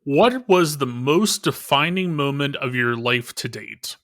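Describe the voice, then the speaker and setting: A man hosting a casual interview podcast, relaxed, tossing out quick questions. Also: mid-range voice. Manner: relaxed, tosses quick rapid-fire questions. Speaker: podcast host.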